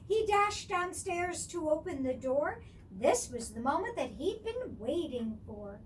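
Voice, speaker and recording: A woman storyteller reads rhymes aloud with very lively, swooping intonation. Her voice is very high and unhurried, and she is recorded at a distance from the microphone. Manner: expressive, theatrical, with chatty asides. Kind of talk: animated rhyming picture-book read-aloud. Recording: distant microphone with clear background noise.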